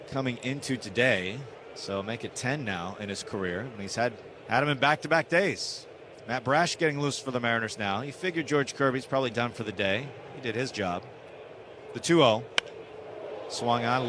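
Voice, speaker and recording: A man doing live baseball play-by-play on the radio in short, clipped phrases. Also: medium pitch. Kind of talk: live radio sports commentary. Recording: noisy live ballpark radio broadcast. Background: outdoor stadium ambience.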